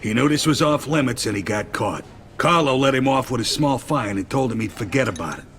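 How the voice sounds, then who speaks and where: Streetwise mid-pitched American mafia lieutenant. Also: male voice, expressive, brisk, a bit bright. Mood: grave, probing. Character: wary, profane middle-aged male mafia lieutenant. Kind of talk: dramatic video game cutscene acting.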